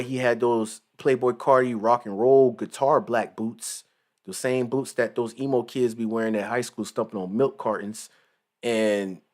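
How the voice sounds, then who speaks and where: Male host, late 20s, casual slangy commentary, rambling and animated. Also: medium pitch.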